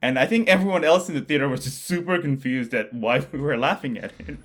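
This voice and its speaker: A man talks through ideas in a casual podcast chat. His mid-range voice muses along and often trails off quietly at the ends of thoughts. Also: medium pitch, quick pace. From Bangladesh. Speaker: podcast co-host, mathematician.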